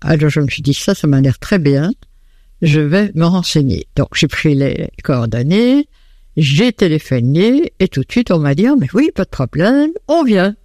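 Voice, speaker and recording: An older woman, a former teacher, answering interview questions about hosting students, hesitant with many pauses. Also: sits low, big pitch swings, dark tone, no echo, clean studio sound. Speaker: host of student lodgers.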